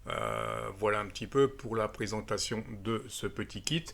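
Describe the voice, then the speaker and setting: A man's voice: on the low side, normal speed, creaky, fairly bright tone, slight background noise. A man presenting a gadget in a casual, hands-on way, explaining it step by step. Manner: explanatory, step by step, with frequent tag words. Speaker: amateur radio video presenter. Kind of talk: casual hands-on product demonstration.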